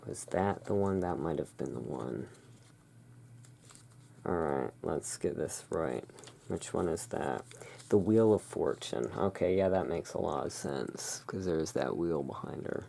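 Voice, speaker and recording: A low-pitched ASMR host with a gravelly edge to the voice. The pace is very slow. The talk is casual card commentary, musing and half to oneself. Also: animated, dark tone, a little hiss or hum. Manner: musing, talking to self.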